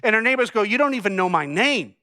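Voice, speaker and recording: A middle-aged man from New England preaching a sermon, telling stories and acting out bits of dialogue with lively energy. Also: sits high, fast, dry recording, clean studio sound. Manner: animated storytelling with acted-out dialogue. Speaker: pastor. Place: church stage.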